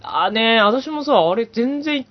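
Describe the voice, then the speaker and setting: A woman in casual podcast chat, blunt and expressive, speaking in slangy informal language. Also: on the high side, a little slow, muffled recording. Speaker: podcast guest or co-host. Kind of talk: casual chat among friends.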